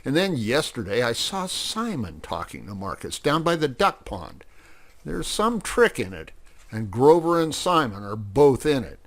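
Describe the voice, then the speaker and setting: A man's voice: mid-range voice, big pitch swings, measured pace, fairly bright tone, pitched above the performer's own voice, full character voice. A man voicing an earnest, suspicious male fox in a story, confiding news in a folksy way.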